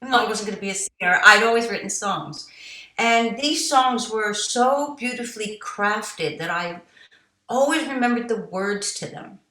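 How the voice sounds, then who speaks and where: A middle-aged woman, a jazz singer and songwriter, telling her story as an interview guest in an animated way, quoting herself and others as she goes. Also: medium pitch, normal speed, reverberant, rough recording. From United States. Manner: animated, tells stories with quoted asides and laughs.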